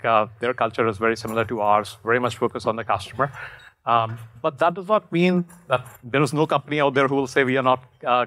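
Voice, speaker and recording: A man in his fifties speaks on a conference panel at a medium pitch. He is halting and rephrases as he goes, with faint noise in the live recording. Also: fairly bright tone. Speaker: panelist, technology partnerships manager. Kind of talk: unscripted panel talk. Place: on stage at a conference panel in a curtained room. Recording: clearly noisy live event audio.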